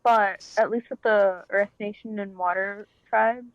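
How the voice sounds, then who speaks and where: A woman's voice: mid-range voice, steady tone, measured pace, dry recording. A woman in a casual group discussion, asking questions and explaining her thinking step by step. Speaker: panel guest. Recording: dry, dull lo-fi mic.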